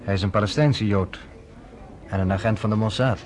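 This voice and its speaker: An actor playing a wry, skeptical American friend in a radio drama, teasing and lecturing. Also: male voice, fairly low pitch, brisk, natural voice.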